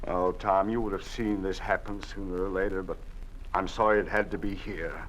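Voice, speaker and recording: An actor playing a weary middle-aged father in a 1950s radio drama, sometimes shouting sternly. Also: low voice, steady tone, moderate pace, a little hiss or hum, rough recording.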